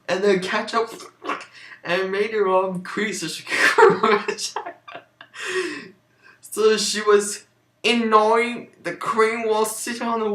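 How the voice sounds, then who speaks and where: A woman's voice: medium pitch, a bit bright. A woman giving a mocking amateur dramatic reading of fan fiction, breaking off into sarcastic asides. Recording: roomy, echoing home recording.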